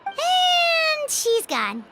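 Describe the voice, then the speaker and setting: A woman voicing a tiny, childlike, excitable fairy companion in an anime video game, squeaky and eager. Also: very slow, very noisy, exaggerated voice. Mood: concerned. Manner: exclaiming, refers to itself in third person. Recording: game music playing behind the voice.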